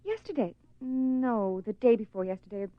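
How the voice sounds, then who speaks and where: A woman's voice: fairly high pitch, lively intonation, moderate pace, dry recording, lightly acted. A woman playing an obsessed, eerily contented wife with a possessive edge in a radio horror play. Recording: dull lo-fi, dry radio recording.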